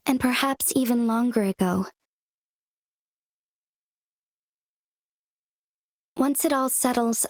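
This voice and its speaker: A woman voices a young game magistrate, calm and dignified, with a slightly high voice. She speaks in a composed, formal way, and a long reverb hangs on the audio. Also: lightly acted. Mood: gentle. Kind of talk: video game cutscene voice acting.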